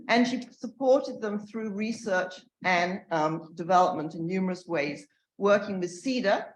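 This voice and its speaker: An older woman speaking at an academic panel, earnest and loud, with frequent fillers and restarts. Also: mid-range voice. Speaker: panel speaker, emerita professor. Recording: echoey room.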